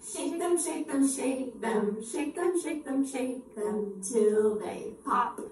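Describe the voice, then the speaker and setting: A woman's voice: high voice, lively intonation, darkish tone. Echoey, distant woman leading children's singalongs. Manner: slow, simple, repetitive delivery for young children. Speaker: children's storytime singer and presenter. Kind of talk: upbeat children's singalong with short spoken introductions. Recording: echoey room, distant mic, faint noise.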